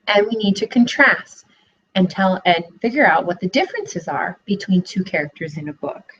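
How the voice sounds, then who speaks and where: A young woman teacher giving a read-aloud lesson for children, asking questions in an expressive way, recorded on a laptop webcam in a living room. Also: medium pitch, normal speed, slight background noise. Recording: dull lo-fi webcam audio.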